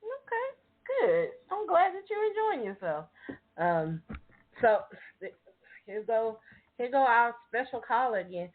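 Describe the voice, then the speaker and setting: High-pitched animated woman host, muffled sound. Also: unhurried, pauses often. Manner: informal, colloquial, repeats phrases. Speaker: talk show host. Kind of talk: casual conversational hosting. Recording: muffled, narrow phone-like audio.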